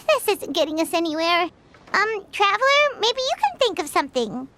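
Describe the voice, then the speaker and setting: A woman voicing a tiny, excitable, childlike fairy companion in a fantasy video game. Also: very high voice, normal speed, heavy background noise. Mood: impatient.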